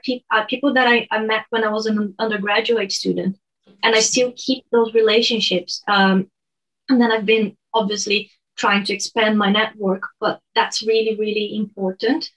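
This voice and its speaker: A woman in her thirties from Brazil with a Brazilian Portuguese accent, a native Portuguese speaker, talking over a video call from her bedroom in a measured, earnest way. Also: on the high side, fairly even intonation, fairly bright tone, poor audio. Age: 30s. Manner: measured, earnest, some restarts. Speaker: guest, online lecturer. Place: bedroom at home.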